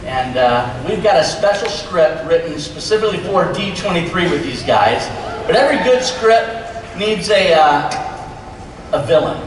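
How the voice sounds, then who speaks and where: A man's voice: on the high side, big pitch swings, normal speed. A man speaking casually on stage as a director hosting a panel. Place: large convention stage. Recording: echoey hall from far off, noisy.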